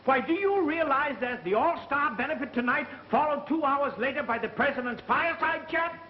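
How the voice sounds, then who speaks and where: A man's voice: extremely high pitch, lively intonation, moderate pace. A man acting a frantic, blustering radio station boss in an agitated, demanding 1930s melodrama style, on an old film soundtrack. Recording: muffled, hissy vintage 1930s film soundtrack.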